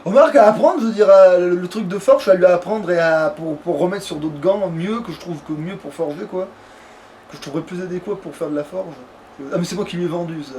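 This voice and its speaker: A man's voice: high voice, brisk, a little mellow, a little hiss or hum. A man chatting casually as a let's player, in a crude, slangy, grumbling way. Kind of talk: casual gaming chat.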